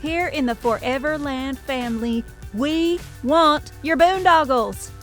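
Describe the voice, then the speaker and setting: A woman playing an upbeat corporate announcer in a parody recruitment commercial, giving a polished, persuasive sales pitch. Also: unhurried, a bit bright, soft background music. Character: slick, upbeat female corporate advert announcer.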